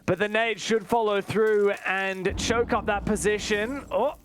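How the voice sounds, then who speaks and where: High-voiced excitable male esports commentator. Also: measured pace. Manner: excitable, exclaiming at big plays. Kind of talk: live play-by-play esports commentary.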